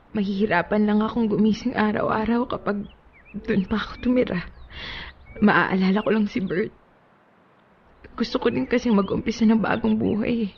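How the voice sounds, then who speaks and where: A woman's voice: mid-range voice, gravelly, muffled recording, a little hiss or hum, natural voice. An actress playing a grieving, pleading young woman who has lost her partner, in a Tagalog radio drama.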